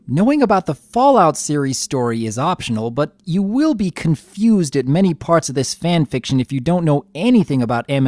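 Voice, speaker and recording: Mellow, expressive man, fairly high voice. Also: dry recording. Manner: formal, courteous phrasing. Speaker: guest commentator. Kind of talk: scripted review commentary.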